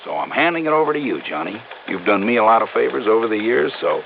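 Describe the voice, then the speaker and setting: An actor playing an eager, insistent New York police lieutenant in a 1950s radio drama, talking slangily and emphatically. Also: male voice, on the low side, expressive, fast talker, vocal fry. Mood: businesslike. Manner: emphatic, slangy, plain-spoken. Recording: muffled, hissy vintage radio recording.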